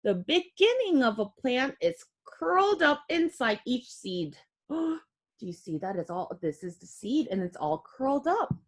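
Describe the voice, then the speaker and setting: A woman's voice: high voice, a little slow. A woman reading a picture book aloud to young children like a teacher, expressively, with simple explanatory asides. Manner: expressive, child-directed, with audible gasps and exclamations.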